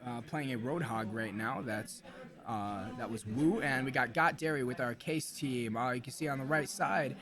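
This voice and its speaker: A man with a slightly hoarse, mid-pitched voice hosts an esports stream. He talks casually and jokingly to the viewers, with people audible in the room behind him. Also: moderate pace, crowd noise. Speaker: esports stream host and commentator. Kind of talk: casual live game commentary.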